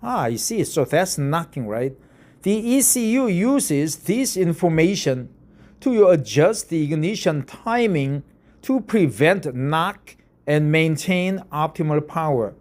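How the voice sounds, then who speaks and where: A man in his fifties from Korea, with a Korean accent, reading an article aloud and commenting, halting with many fillers and repeated "right?"s. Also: a little high, lively intonation, a little mellow. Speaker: YouTube reader of articles.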